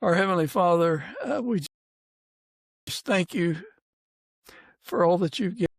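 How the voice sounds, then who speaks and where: A man speaks as a podcast host in an informal, conversational way. His pace is slow and halting, with a creaky edge to the voice. The sound is close and dry. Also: high-pitched, expressive, dry recording. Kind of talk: conversational interview and informal prayer.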